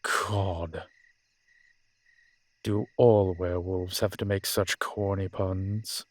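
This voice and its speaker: Man playing a slow, low-voiced urbane ancient vampire. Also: steady tone, no room sound. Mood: disdainful. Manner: measured, formal, deliberate, drawn-out phrasing. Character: urbane, centuries-old male vampire, dry and condescending. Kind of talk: scripted audio roleplay drama.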